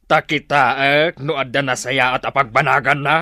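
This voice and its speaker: A man's voice: medium pitch, steady tone, moderate pace, pushed and bright, dry recording, studio quality, natural voice. A man playing a scornful middle-aged husband scolding his wife in a drama, with an Ilocano Filipino accent. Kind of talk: Ilocano audio drama acting.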